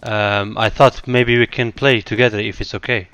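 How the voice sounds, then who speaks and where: A man's voice: lowish voice, steady tone, normal speed, fairly bright tone, lo-fi sound, a little hiss or hum. A man from Kurdistan, politely chatting with strangers in online game voice chat and asking puzzled questions. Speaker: gamer talking with strangers in voice chat.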